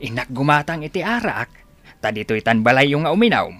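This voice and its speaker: A man's voice: mid-range voice, big pitch swings, brisk, over music, natural voice. A man acting in a radio drama as an easygoing, doubtful village drinking pal with an Ilocano accent.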